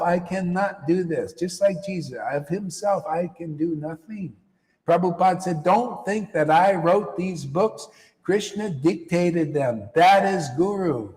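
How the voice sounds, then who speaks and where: A man giving a lively spiritual talk to a live audience, slangy and repetitive, often calling listeners "man". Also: sits high, in a large hall, low-quality recording. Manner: animated, slangy, repeats phrases, calls listeners "man". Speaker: spiritual teacher. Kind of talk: casual live-stream talk.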